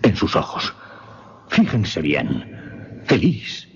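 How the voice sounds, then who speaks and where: Man playing an earnest, very husky mesmerist. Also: wildly swooping pitch, slow, lo-fi sound, exaggerated voice. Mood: tense, intent. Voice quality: low, very husky. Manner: formal, grave, dramatic. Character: earnest male mesmerist recounting and conducting a grim experiment. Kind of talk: Spanish-language horror radio play acting.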